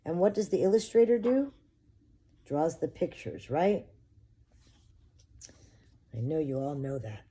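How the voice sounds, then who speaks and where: A woman reads a children's picture book in a very mellow, slightly low voice. She speaks as a preschool teacher does, addressing her young listeners directly with simple words. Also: lively intonation, measured pace, pauses often, husky. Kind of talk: expressive picture-book read-aloud for children.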